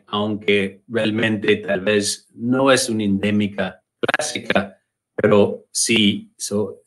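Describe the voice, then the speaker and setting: A man with an American accent delivers a conference talk over a computer mic. His Spanish is careful and halting, his pace unhurried, and he doubles back on words as he hunts for the right term. His pitch sits in a moderate middle range. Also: fairly bright tone, low-quality recording. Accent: American English. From United States. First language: English. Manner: hesitant, repeats words while searching for Spanish. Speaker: invited lecturer. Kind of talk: virtual conference lecture. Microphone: computer mic on a video call.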